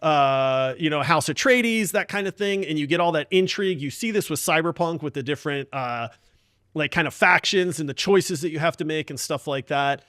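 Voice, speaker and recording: A man's voice: on the high side, normal speed, a bit bright, no room sound, clean studio sound. A man hosting a casual gaming podcast, talking in an enthusiastic, emphatic and slangy way. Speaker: podcast host.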